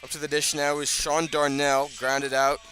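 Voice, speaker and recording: A man doing live radio baseball play-by-play, speaking in measured short phrases with pauses between them. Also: fairly high pitch, flat delivery, pushed and bright, soft background music. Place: outdoors at a ballpark.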